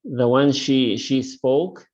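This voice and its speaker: A man's voice: mid-range voice, slow, a little mellow, muffled recording. A man lecturing in an online class, hesitant and full of fillers as he encourages his students. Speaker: university lecturer.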